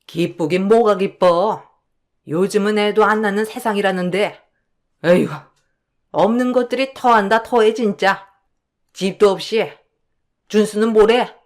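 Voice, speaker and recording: A woman voicing a snobbish older mother-in-law who talks in a sharp, scolding, dismissive way. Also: mid-range voice, unhurried, pauses often, natural voice. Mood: indignant. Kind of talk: dramatized storytelling.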